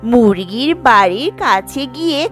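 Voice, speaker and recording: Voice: medium pitch, lively intonation, unhurried, music in the background. A narrator reading a scripted children's cartoon tale in storybook style.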